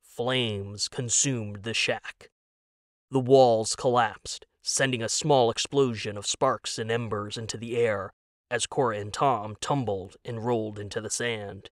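Guy narrating a dramatic story, takes it slow n suspenseful, really dry recording. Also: lowish voice, fairly even intonation, no room sound. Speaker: audiobook narrator.

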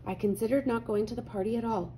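Bright-toned woman reading a storybook, noisy background. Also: on the high side, fast talker. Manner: animated storytelling with clear dramatic emphasis. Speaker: read-aloud host. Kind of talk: expressive children's picture-book read-aloud.

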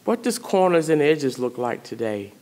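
A woman's voice: sits low, very animated, moderate pace, darkish tone. A middle-aged woman priest, preaching a sermon in a church sanctuary, speaking conversationally and putting questions to the congregation. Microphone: stand mic in front of pulpit.

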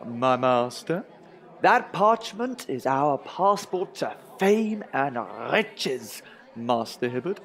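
An ambitious Tudor songwriter in a comic audio drama. His voice is high and swoops widely, with a slow, theatrical, excitable delivery. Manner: theatrical, excitable, stammers in panic. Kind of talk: historical comedy audio drama acting.

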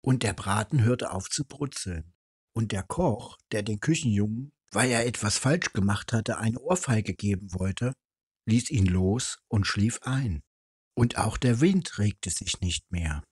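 A man's voice: lowish voice, lively intonation, measured pace, breathy edge, no echo, studio quality. A man reading a fairy tale aloud as a storyteller. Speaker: storyteller, channel host.

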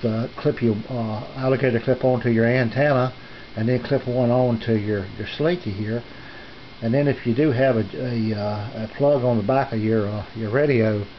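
Halting man on dull, noisy camera audio. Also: mid-range voice. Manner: halting, hesitant, rambling, folksy. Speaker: amateur radio hobbyist presenting his equipment. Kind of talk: casual hobby video tour narration. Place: small indoor home radio room. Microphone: handheld camera's built-in mic, behind the lens.

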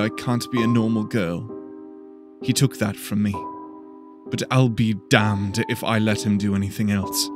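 A man reading scripted fiction as a dramatic audiobook narrator. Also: lowish voice. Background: faint music.

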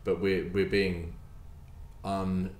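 Deep-voiced man, emphatic and restarting. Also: very slow. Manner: animated, emphatic, repeats words as he restarts. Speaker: baker and bakery owner. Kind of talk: casual podcast interview. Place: bakery room with hard walls. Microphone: desk mic on stand. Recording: roomy echo, faint room noise.

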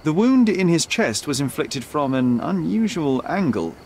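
An actor playing a young detective in a game, articulate, precise and self-assured. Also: male voice, fairly high pitch, sing-song, measured pace, darkish tone, slight background noise. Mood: confident, probing. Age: young adult. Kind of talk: dramatic game cutscene dialogue. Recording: faint night ambience behind.

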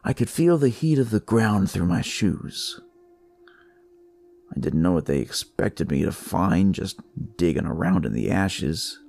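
Husky, gravelly noir detective, read by a man. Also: low-pitched, sing-song, measured pace, darkish tone, full character voice. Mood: wry, confiding. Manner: wry, conversational, world-weary. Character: jaded, crude male police detective telling his story. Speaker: narrator. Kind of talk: noir first-person scripted narration.

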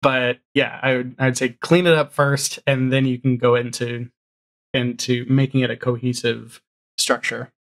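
A middle-aged man with an average-pitched voice talks as a podcast guest. He is informal and jokey, and his explanations come haltingly as he interrupts himself. He sounds like he is on a video call from a room at home. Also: flat delivery, fairly bright tone. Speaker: podcast guest, audio drama showrunner. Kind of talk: casual interview chat about production.

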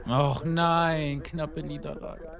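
A young man giving casual, rambling commentary while playing a shooter, with a slightly hoarse voice from a cold, swearing often and filling gaps with ums. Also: a little high, level tone, slow. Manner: chatty, swears often, rambling with many fillers. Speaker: gaming YouTuber. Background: game music and gunfire.

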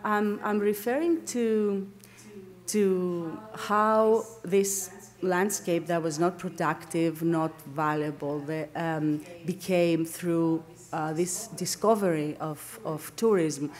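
A middle-aged woman from Greece with a Greek accent gives an academic seminar lecture in a halting way, searching for words and correcting herself. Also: lowish voice, steady tone, a little mellow. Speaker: academic lecturer, landscape architect. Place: indoor lecture room, at a lectern.

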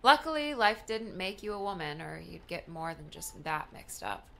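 A woman's voice: fairly low pitch, big pitch swings, moderate pace, creaky, pushed and bright, full character voice. A woman playing an irritated young woman in an audiobook, dry, cutting and bitterly sarcastic with her ex. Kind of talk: audiobook character dialogue.

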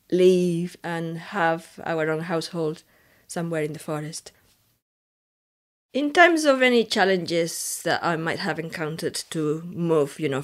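A woman in her forties with a strong Spanish accent, from the Canary Islands, telling her life story in a relaxed, chatty way with restarts, recorded at home. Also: on the low side. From Canary Islands, Spain. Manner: chatty, with frequent restarts and "you know". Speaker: interviewee. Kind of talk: reflective personal storytelling. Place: living room at home, indoors.

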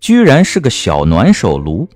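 A man's voice: fairly low pitch, wildly swooping pitch, moderate pace, a little mellow. A man narrating a comic period novel as an audiobook, with a wry, theatrical delivery. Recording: music bed under the voice.

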